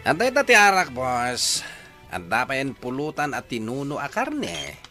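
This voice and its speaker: A man playing an eager, fawning henchman and drinking buddy in an Ilocano radio drama, with a sharp, tense voice and music underneath. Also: medium pitch, huge pitch swings, measured pace, full character voice.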